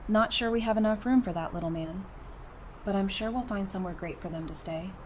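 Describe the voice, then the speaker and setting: A woman's voice: medium pitch, a little fast, slight background noise, natural voice. A woman playing a level-headed, supportive, teasing wife and mother in an audio drama, speaking frankly and conversationally. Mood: friendly.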